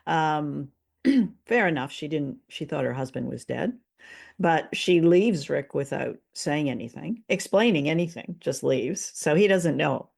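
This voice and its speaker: A woman's voice: low voice, expressive, measured pace, gravelly, a little mellow, breathy edge. A woman co-hosting a podcast in a casual, conversational film discussion. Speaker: podcast co-host.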